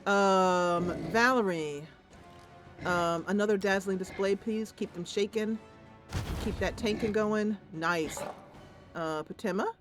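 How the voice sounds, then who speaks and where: A woman hosting a gameplay channel, chatting casually and excitably as she comments on the game. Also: mid-range voice, takes it slowly, over music.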